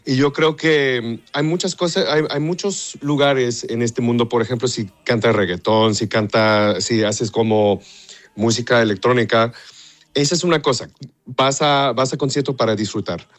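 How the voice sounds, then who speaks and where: A man from Texas, half Mexican, an opera singer answering interview questions in Spanish with pauses, repeated words and stray English. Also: mid-range voice. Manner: hesitant, searching for Spanish words, mixes in English.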